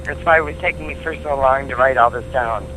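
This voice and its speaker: A man on a phone call, answering in a plain, deliberate, unruffled manner. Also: mid-range voice, bright, forceful tone, a little hiss or hum. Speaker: call taker, prank call target. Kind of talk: phone conversation.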